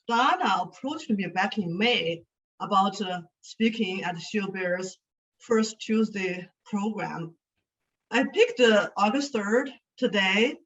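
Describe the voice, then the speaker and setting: A woman in her fifties, raised in Sichuan with Chinese as her first language, talks casually and chattily, full of fillers and restarts. Her voice is of middle pitch. It comes over a video call that sounds dull and set back from the mic. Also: far from the mic. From Sichuan, China. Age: 50s. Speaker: guest speaker. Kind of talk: casual talk with slides.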